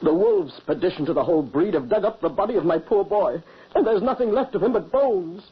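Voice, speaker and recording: A middle-aged man playing a gruff, grieving peasant father and hunter in melodramatic old-time radio horror. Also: high voice, lively intonation, fairly quick, full character voice. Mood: bitter anger. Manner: melodramatic, exclamatory. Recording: muffled, noisy old radio recording.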